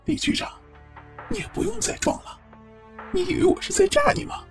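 A man's voice: very high-pitched, very animated, moderate pace, distant mic, faint music behind. A man playing a sly, mocking blackmailer who disguises his voice with a strained, squeezed delivery in an audio drama. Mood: mocking, menacing.

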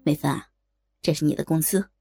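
A woman's voice: sits low, fairly even intonation, gravelly, mellow, slightly rough, soft background music, voice pushed down, heavily acted. A woman voicing a wealthy, refined man who is mild but commanding, in an audiobook. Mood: kindly. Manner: mild, unhurried, authoritative. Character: wealthy, refined, gently commanding male master of the house. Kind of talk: audiobook character dialogue.

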